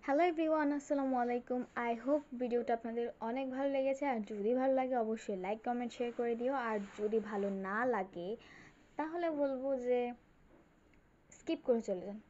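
A woman's voice: fairly high pitch, fairly quick, warm tone, slight background noise. A woman delivering narration and dialogue for a cartoon. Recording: dull, lo-fi sound.

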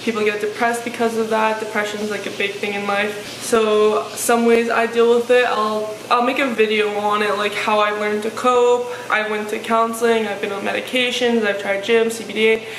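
Deep-voiced young woman, flat and chatty. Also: normal speed, few pauses. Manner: chatty, frank, lots of "like" fillers. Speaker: fitness vlogger. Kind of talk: casual Q&A vlog. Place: living room, seated on a sofa. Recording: echoey room with faint hiss.

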